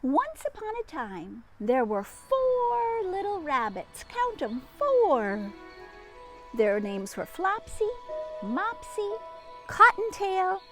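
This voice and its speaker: A woman with a high voice reads a picture book aloud to young children. Her delivery swings up and down expressively, with chatty teacherly asides. Music plays faintly along with her. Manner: expressive, teacherly, addresses young listeners with questions. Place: outdoors in a garden.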